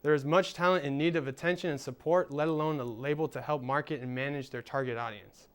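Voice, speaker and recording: A man's voice: on the high side, fairly even intonation, fast talker, clean tone. A young man hosting a student show, reading upbeat scripted links and interviewing a guest. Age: young adult. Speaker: student host and presenter.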